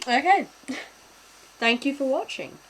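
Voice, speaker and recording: A woman's voice: sits high, expressive, slow, a bit bright, a little hiss or hum, poor audio. Chatty British woman streamer. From Britain. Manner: chatty, laughs often, swears casually. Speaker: streamer reacting to videos. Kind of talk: casual reaction stream chat.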